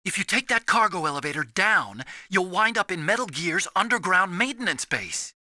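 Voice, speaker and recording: An earnest, boyish engineer from a video game, high and lively in pitch. He sounds soft-hearted and anxious, with a very sharp, dry sound. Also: normal speed, dry recording, full character voice. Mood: cautionary.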